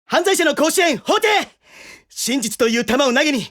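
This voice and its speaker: A woman's voice: high-pitched, lively intonation, normal speed, a bit bright. A woman playing a boisterous, earnest teenage former baseball player, declaiming heartily in a Japanese audio drama. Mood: hearty, eager. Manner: hearty, declaiming like a team captain.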